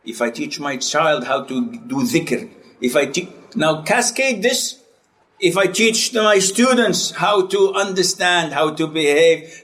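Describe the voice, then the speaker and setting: Animated high-voiced male religious teacher. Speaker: Islamic teacher. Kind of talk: lively classroom lecture.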